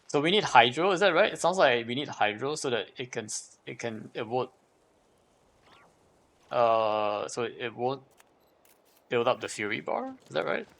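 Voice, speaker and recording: A man's voice: medium pitch, expressive, moderate pace, vocal fry, long pauses, a bit bright. A man giving casual live gameplay commentary, thinking aloud as he repeats and questions himself. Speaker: game livestreamer.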